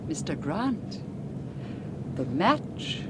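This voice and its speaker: A woman's voice: low-pitched, very animated, very slow, heavily acted. Cool, commanding woman of menace. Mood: cool, mocking. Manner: controlled, clipped, commanding. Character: coolly menacing, mysterious female gang member with a gun. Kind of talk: radio drama acting. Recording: noisy old radio drama, vehicle sounds.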